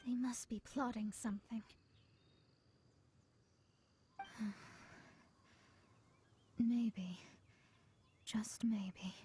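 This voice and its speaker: A proud, high-voiced young astrologer. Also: female voice, steady tone, drawn-out words, warm tone, breathy edge, full character voice. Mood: pondering. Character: proud, self-assured young female astrologer. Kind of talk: video game voice acting.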